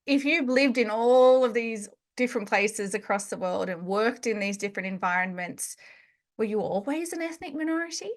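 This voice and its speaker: A woman's voice: a little high, expressive, moderate pace. A woman hosting a podcast, asking thoughtful, probing interview questions. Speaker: podcast host.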